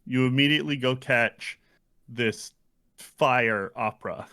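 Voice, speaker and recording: A man's voice: mid-range voice, slow, bright, forceful tone, smooth, no room sound, studio quality. A man co-hosting a podcast, casually discussing video games. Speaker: podcast co-host.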